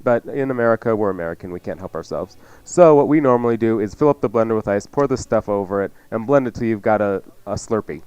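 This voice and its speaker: A man's voice: lowish voice, fast, hardly any pauses, a little mellow, smooth, dry recording, highs cut off. A man hosting a cooking show in a casual, chatty, joking way as he explains recipes. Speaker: cooking show host and chef. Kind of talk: casual cooking demonstration chat.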